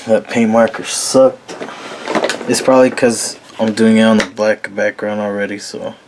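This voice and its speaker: A man with a very low voice talking casually and slowly while painting, with lots of fillers and a laid-back manner. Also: steady tone, noisy background. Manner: slow, rambling, frequent fillers, laid-back. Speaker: painting tutorial host. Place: small room at a painting table.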